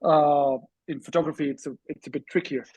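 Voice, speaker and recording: A man with a husky voice giving measured, reflective, slightly hesitant answers as a guest in an online discussion. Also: on the high side, steady tone, a little mellow, no echo, low-quality recording. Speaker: interview guest, author and journalist. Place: online video call.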